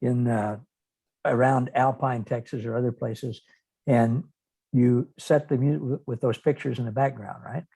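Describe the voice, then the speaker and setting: A male interviewer with a mellow, gravelly voice. He speaks conversationally, and his tone is dark and husky. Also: medium pitch, fairly even intonation, measured pace, rough recording.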